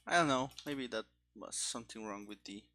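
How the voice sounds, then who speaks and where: A game developer thinking aloud while programming, speaking casually and haltingly, as if muttering to themself. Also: mid-range voice, bright, forceful tone. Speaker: game developer streaming their coding. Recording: keyboard clicks and faint background noise. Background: typing and mouse clicks.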